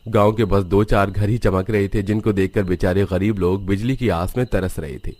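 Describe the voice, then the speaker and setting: Low-voiced male story narrator. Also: fairly even intonation, moderate pace, darkish tone. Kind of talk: scripted cartoon story narration.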